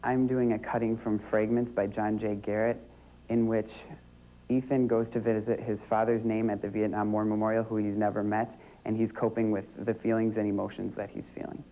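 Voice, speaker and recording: A seventeen-year-old American man, a high school theater student, introducing himself. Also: level tone, moderate pace, mellow, slight background noise, poor audio. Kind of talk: plain self-introduction.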